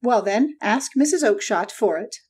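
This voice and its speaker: A woman voicing a hot-tempered male poultry salesman, gruff and combative, in a dramatic audiobook. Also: on the high side, animated, normal speed, darkish tone. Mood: angry. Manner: gruff, combative, snapping delivery.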